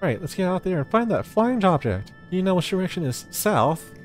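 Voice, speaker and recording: A man voicing an eager, excitable and naive video game sidekick in a chirpy, earnest way. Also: high-pitched, brisk, faint music behind, pitched above the performer's own voice.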